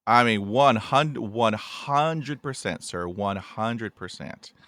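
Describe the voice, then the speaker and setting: A man's voice: lowish voice, slow, fairly bright tone, no room sound. Earnest stuttering man in his forties. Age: 40s. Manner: earnest, repeats himself, stutters with blocks. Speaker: podcast host. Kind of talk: casual podcast interview.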